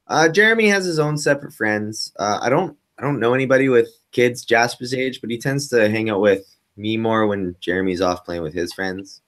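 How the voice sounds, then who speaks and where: A young man with a boomy voice, the host, talking candidly about his kids over a video call from a home studio. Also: moderate pace, hardly any pauses, fairly bright tone. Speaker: podcast host. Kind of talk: casual interview chat.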